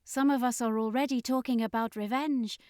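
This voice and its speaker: A woman's voice: a little high, fairly even intonation, fairly quick, a little mellow, clear, resonant tone, dry recording, clean studio sound, voice pushed up, close to an everyday voice. A woman voicing an earnest, humble female alien survivor in an audiobook story. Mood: regretful, earnest.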